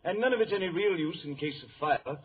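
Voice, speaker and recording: Blustering sea captain, tinny radio. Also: male voice, sits high, animated, fast talker. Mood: blustering, grave. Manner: emphatic, salty, blustering. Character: gruff, blustering, worried ship's captain, man. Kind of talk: old-time radio drama acting. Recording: tinny old radio recording, faint noise.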